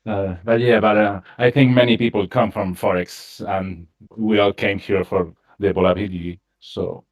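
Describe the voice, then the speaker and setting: A man's voice: lowish voice, steady tone, moderate pace. A man from Chile with a Chilean Spanish accent, a native Spanish speaker, chatting casually on a voice call and searching for words with many fillers. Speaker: crypto trader in a group call. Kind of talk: casual chat.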